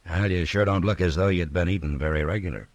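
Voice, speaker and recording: An American actor playing a calm, firm, fair-minded frontier marshal in an old-time radio western, speaking in a laconic, dryly wry way. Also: male voice, sits low, fast. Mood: calm questioning. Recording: old radio drama, music behind.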